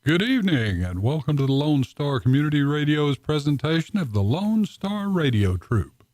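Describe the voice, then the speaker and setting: A man's voice: on the high side, big pitch swings, normal speed, darkish tone, no room sound. A man hosting a radio program with a formal, scripted introduction. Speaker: radio show host.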